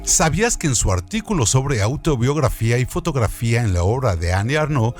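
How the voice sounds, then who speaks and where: A male presenter reads scripted literary trivia with a very animated, varied intonation. His delivery is didactic and built on rhetorical questions, over a faint bed of music. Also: medium pitch, fairly quick. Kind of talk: scripted educational narration.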